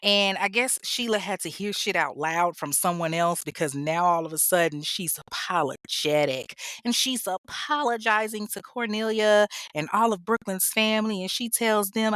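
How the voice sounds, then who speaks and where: A woman's voice: mid-range voice, normal speed, fairly bright tone, no echo, clean studio sound. A woman hosting a true crime show, telling the story in a casual, blunt way with swearing and laughing asides. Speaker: true crime podcast host.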